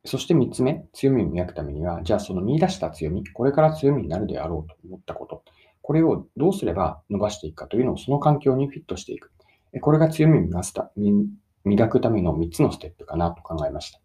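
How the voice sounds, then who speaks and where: Man, lowish pitch, animated intonation, methodical explainer, emphasis on key words, addressing listeners. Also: fast talker. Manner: methodical, stresses key words and recaps points. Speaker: presenter giving career self-development advice. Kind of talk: explanatory solo talk, addressing listeners directly.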